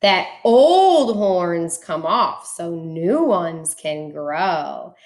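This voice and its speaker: A woman reads a children's storybook aloud in a dramatic, deliberate rhyming delivery. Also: on the low side, animated, roomy sound. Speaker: teacher reading a picture book aloud.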